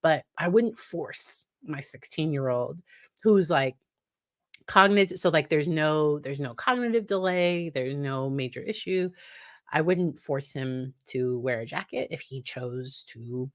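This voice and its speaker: Deep-voiced woman psychologist, conversational. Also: expressive, a little slow, dry recording, clean studio sound. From United States. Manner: conversational, warm laughs, acts out quoted dialogue in a higher voice. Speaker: licensed psychologist, host. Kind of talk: casual educational talk to camera.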